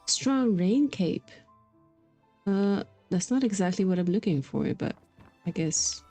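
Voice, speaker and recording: Mellow-voiced woman livestreaming a game. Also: medium pitch, animated, takes it slowly. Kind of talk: casual livestream chat and reading game dialogue aloud. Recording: music behind the voice. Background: game music.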